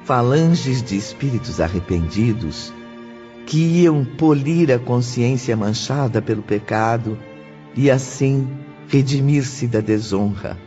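Male Brazilian radio drama narrator, slow and mellow, dulled recording with soft music behind. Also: mid-range voice, expressive, lo-fi sound.

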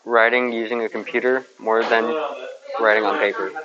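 A teenage boy, a student, reading his reflection slowly and a little haltingly. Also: fairly low pitch, level tone, highs cut off, a crowd in the background.

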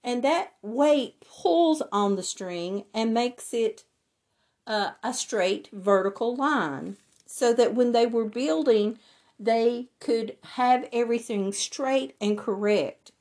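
A woman with a hoarse, raspy voice from laryngitis, teaching a Bible lesson and reading scripture in a deliberate way. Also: on the high side, darkish tone.

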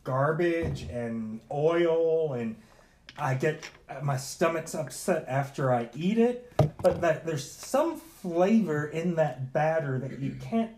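A man's voice: fairly high pitch, expressive, slow pace, a little mellow, a little hiss or hum. A man co-hosting a podcast in casual chat, rambling and wry, full of fillers. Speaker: podcast co-host.

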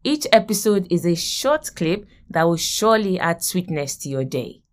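A woman's voice: lowish voice, sing-song, normal speed. Nigerian woman giving a faith talk. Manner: earnest, preacherly, acts out quoted voices. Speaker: host of a short faith podcast.

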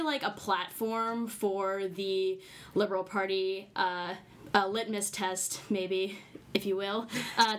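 A woman hosting a casual round-table podcast, rambling and laughing, with lots of fillers. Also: medium pitch. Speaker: podcast host. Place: indoors around a table with friends.

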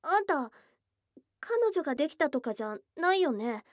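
A woman voicing a shy, affectionate young goblin girl in a Japanese ASMR voice drama, with casual tomboyish speech and a soft, hesitant delivery. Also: high-pitched, very animated, moderate pace, no echo, clean studio sound. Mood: nervous. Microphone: close studio mic, dry.

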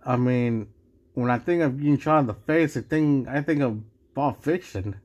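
A man's voice: mid-range voice, moderate pace, a little mellow, highs cut off. Rambling man from Mexico, solo podcast. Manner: rambling, many restarts and asides. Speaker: podcast host. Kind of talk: casual solo podcast monologue.

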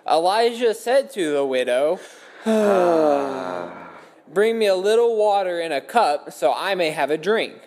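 A man's voice: sits high, expressive, takes it slowly, a little mellow. A man reading a Bible story aloud from a script as a dramatic narrative. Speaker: scripture reader. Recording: echoey hall with long reverb.

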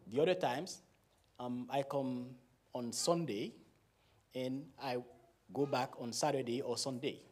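A man in his forties with a Haitian accent, a native Creole speaker, giving a slow, laughing talk to a church as a guest pastor. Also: medium pitch, husky. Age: 40s. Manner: slow, warm and laughing, with frequent pauses.

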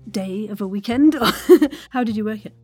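Husky-voiced woman interviewer, effusive. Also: medium pitch, moderate pace, mellow, faint music behind. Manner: effusive, often interjecting approval. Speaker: podcast host and interviewer. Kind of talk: casual enthusiastic interview chat.

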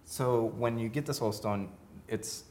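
A man's voice: mid-range voice, steady tone, unhurried, darkish tone, a little hiss or hum. A man co-hosting a podcast, talking casually about films. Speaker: podcast co-host reviewing films. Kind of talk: casual film discussion.

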